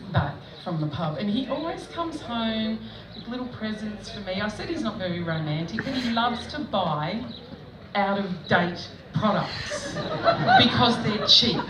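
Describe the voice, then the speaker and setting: A middle-aged Australian woman doing stand-up, telling self-mocking stories in a chatty way with frequent laughter, recorded at an outdoor festival stage. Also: medium pitch. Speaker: stand-up comedian. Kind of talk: stand-up comedy set. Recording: echoey, distant mic with background noise.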